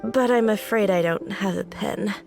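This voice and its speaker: A woman's voice: medium pitch, moderate pace, vocal fry, over music, natural voice. An actress playing a helpful, apologetic young female shrine attendant in a video game.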